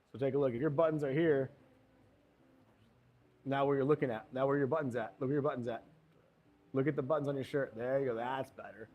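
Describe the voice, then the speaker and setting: A man's voice: medium pitch, a little mellow. A man coaching a golf lesson, giving short cues and encouragement as the student swings. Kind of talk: hands-on golf lesson instruction.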